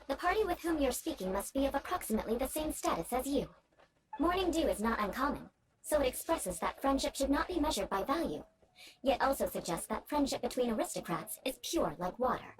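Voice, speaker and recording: A woman's voice: high-pitched, very animated, brisk, crowd noise, rough recording. An actress voicing a haughty, prim young noblewoman in a video game, speaking formally and imperiously with ornate phrasing. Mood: prim lecturing. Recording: game music behind the voice.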